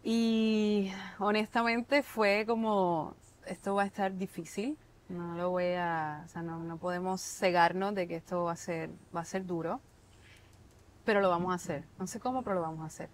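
A woman's voice: lowish voice, steady tone, moderate pace. A Puerto Rican woman chef in her thirties, answering interview questions in a thoughtful way, restarting often, recorded with a lapel mic on an outdoor patio. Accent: Puerto Rican Spanish. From Puerto Rico. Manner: thoughtful, many restarts and filler words.

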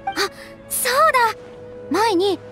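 Sweet soft-spoken young noble lady, really high voice, faint music under. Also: animated, takes it slowly, heavily acted. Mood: earnest. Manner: soft, polite, refined. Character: gentle young noblewoman, earnest, caring. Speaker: game character.

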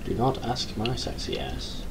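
A young man with a low voice commentating a horror game from his bedroom, joking with mock bravado and reading the game's text aloud. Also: sing-song, measured pace. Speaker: gaming YouTuber. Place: small bedroom, indoors. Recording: noisy home recording.

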